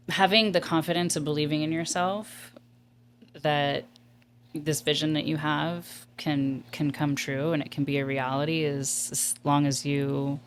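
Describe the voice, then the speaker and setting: A woman's voice: deep voice, a little hiss or hum. A woman who leads a nonprofit, answering interview questions in an earnest, reflective and candid way. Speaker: nonprofit executive director, guest.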